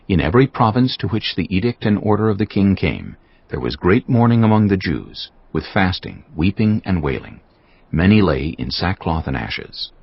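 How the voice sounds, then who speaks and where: Very deep, gravelly male scripture narrator. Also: no room sound, muffled recording.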